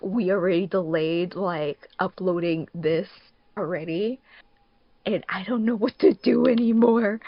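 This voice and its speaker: A woman hosting a casual podcast, talking in an animated, rambling, slangy way. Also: fairly low pitch, dry recording. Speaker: podcast host and fan dub producer. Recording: dull, dry lo-fi mic.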